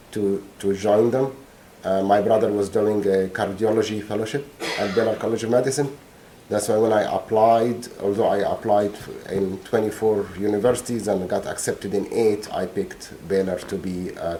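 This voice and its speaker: Low-voiced, halting man. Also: dark tone, a little hiss or hum, poor audio. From Egypt. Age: middle-aged. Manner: halting, searching for words. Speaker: witness. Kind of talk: courtroom testimony. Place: courtroom.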